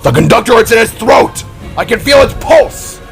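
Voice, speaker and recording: A man's voice: extremely high pitch, big pitch swings, moderate pace, over music, pitched above the performer's own voice, full character voice. A man voicing a fierce older brother, a spark warrior who gives urgent commands in an audio drama.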